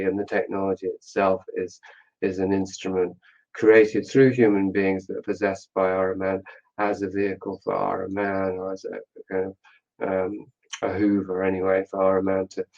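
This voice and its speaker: A man with a fairly low voice that stays very level in pitch. He speaks as a thoughtful interview guest, feeling his way through an explanation and pausing to find words. The recording is a little dull and lo-fi. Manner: hesitant, searching for words, pensive. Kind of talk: reflective spoken explanation in a discussion.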